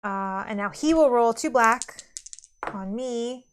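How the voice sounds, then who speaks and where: A woman's voice: on the high side, slow pace, a little hiss or hum. A woman chatting casually as she plays a board game on a live stream. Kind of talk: casual live playthrough chat.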